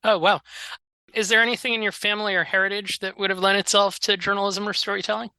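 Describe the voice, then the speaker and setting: A man's voice: high voice, little pitch movement, fast, sharp tone, clean tone, no echo. A man hosting a podcast who asks short, friendly questions and laughs along with his guest. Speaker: podcast host. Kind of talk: interviewer asking questions.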